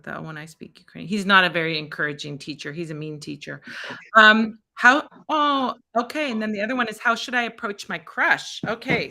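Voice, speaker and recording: Woman tarot reader, bright clear voice, chatty, encouraging, live-stream host. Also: fairly high pitch. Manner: chatty and encouraging, thinks aloud while fumbling with the stream setup.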